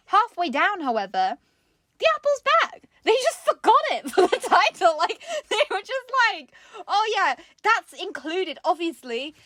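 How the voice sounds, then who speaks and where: A woman's voice: very high voice, big pitch swings, unhurried, sharp tone, dry recording, studio quality. A woman co-hosting a craft show in casual comedic chat, giggly and playfully sarcastic as she presents.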